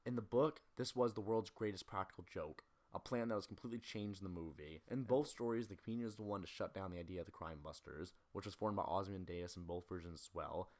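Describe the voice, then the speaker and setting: A man with a fairly low voice narrates a scripted video essay. He moves quickly through his analysis, speaking at a brisk clip. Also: a little mellow.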